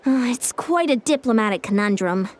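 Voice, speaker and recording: An actress playing an earnest young female warrior officer in a video game, speaking in a formal, measured, courteous way. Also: on the high side, lively intonation, natural voice. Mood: grave, pondering.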